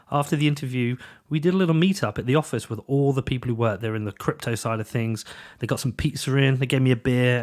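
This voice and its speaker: A man hosting a podcast, talking through his intro and sponsor reads in a chatty, upbeat way while stuffy from a cold. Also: medium pitch. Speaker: podcast host.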